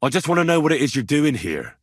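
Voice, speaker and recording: Young medieval man, husky, dry close recording, blunt, righteous, earnest, video game dialogue. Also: on the high side, big pitch swings, quick pace, dry recording. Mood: earnest, matter-of-fact. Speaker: voice actor.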